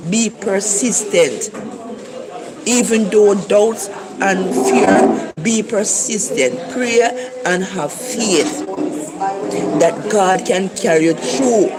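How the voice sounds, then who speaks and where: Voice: mid-range voice, very slow, very noisy. A speaker from Jamaica with a Jamaican accent, giving a casual, earnest talk of encouragement to livestream viewers and repeating key phrases. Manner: earnest, repetitive exhortation. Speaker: livestream preacher.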